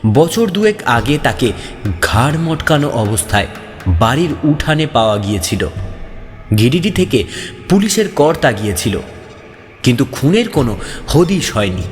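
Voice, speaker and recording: A man reading a scripted ghost story as a narrator, in a dramatic, suspenseful and theatrical manner. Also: mid-range voice. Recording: long reverb, background music. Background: music.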